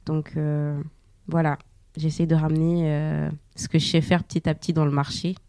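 A woman's voice: low-pitched, flat delivery, normal speed, dark tone, dry recording, clean studio sound. A young woman born in Portugal, guest on a local radio show, talking casually with frequent fillers. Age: young adult. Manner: hesitant, many fillers.

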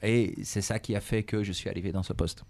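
Man, Belgian, Flemish accent, Dutch native speaker, middle-aged, measured, mellow and warm voice. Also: on the low side, fairly even intonation. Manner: measured, repeats himself, frequent "euh" and "et cetera". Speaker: business executive interviewed by schoolchildren. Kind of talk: calm explanatory interview answers.

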